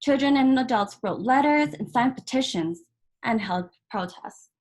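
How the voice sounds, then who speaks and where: Higher-voiced woman reading a children's book aloud. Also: expressive, unhurried, a little mellow. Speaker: volunteer story reader.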